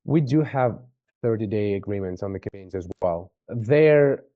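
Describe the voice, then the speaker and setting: A man making a conversational sales pitch on an online call, informal and often checking in with questions. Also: lively intonation, moderate pace, dry recording, narrow, tinny audio. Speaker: sales representative for a marketing agency.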